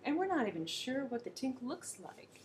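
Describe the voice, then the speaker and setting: A woman's voice: sits high, big pitch swings, moderate pace, a little mellow, slight background noise, pitched above the performer's own voice. A woman voicing a small, earnest male bush creature who frets and exclaims as he talks. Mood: worried.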